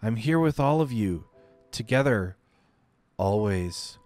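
A man voicing a dying young woman who talks like a small child in her fever, with a high, pleading voice. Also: animated, takes it slowly, a little mellow, faint music behind. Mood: tender, wondering.

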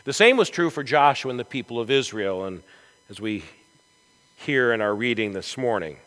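A man preaching a storytelling sermon in a church, with a dramatic, deliberate delivery and emphatic swells. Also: medium pitch, lively intonation, fairly bright tone. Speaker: pastor preaching. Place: large church sanctuary, from the chancel. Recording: echoey church hall with long reverb.

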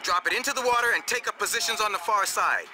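A man's voice: sits high, fast, crowd noise, natural voice, poor audio. A man playing a clipped, authoritative field commander, giving scripted mission briefings in a war game. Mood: commanding. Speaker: military commander.

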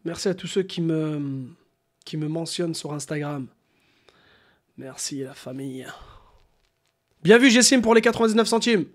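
A young man with a notably high voice talks on a casual live stream. He is animated and slangy, swears freely and spins crude stories like a prank caller. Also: moderate pace, long pauses. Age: young adult. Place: small room at home.

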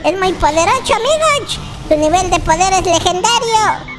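A performer voicing an excitable, boastful but kind baby boy game character with a squeaky childlike voice, exclaiming and repeating words as gameplay roleplay commentary. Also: normal speed. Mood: triumphant, excited. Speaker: gaming channel host. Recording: music behind the voice. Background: children's music.

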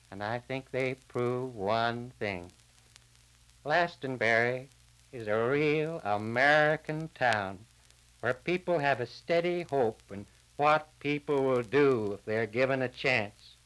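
An actor playing an earnest small-town man delivering a verdict in a measured, plain-spoken way, on a crackly 1940s radio drama disc. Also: medium pitch, fairly bright tone, smooth. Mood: solemn, hopeful.

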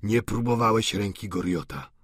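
A man voicing an old doting father in a storybook reading, low, soft and emotional. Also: lively intonation, moderate pace, slightly rough, no echo, studio quality. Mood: anxious.

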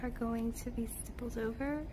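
Young woman, soft ASMR instruction, even high-ish pitch, creaky, noisy background. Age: young adult. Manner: softly spoken, hushed, unhurried. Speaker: illustrator giving a drawing demonstration. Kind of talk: soft-spoken ASMR art tutorial. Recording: steady clear background noise.

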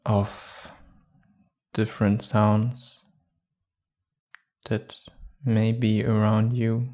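A man guiding a meditation calmly and without hurry, leaving long pauses between short phrases. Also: on the low side. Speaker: meditation guide. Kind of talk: guided meditation.

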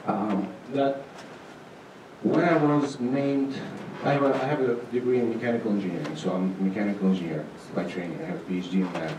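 A man's voice: fairly low pitch, fairly even intonation, fairly quick. Male presenter, middle-aged, dry and informal delivery, echoey and distant from the mic. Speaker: conference presenter, state agency manager. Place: auditorium stage. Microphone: handheld microphone, recorded from far camera. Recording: echoey hall, distant, noisy room sound.

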